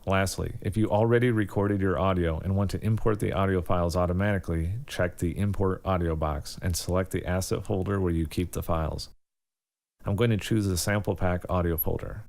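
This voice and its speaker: A man presenting a step-by-step software tutorial in an informal, instructional way. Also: sits low, steady tone, moderate pace, creaky.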